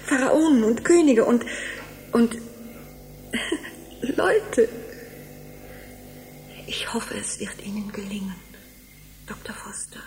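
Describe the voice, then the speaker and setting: An actress playing a wistful, lonely middle-aged wife in a German radio drama, hesitant and dreamy, often sinking into a breathy hush. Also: female voice, on the high side, lively intonation, takes it slowly, pauses often, in a whisper. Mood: dreamy, hopeful. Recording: clearly audible background noise.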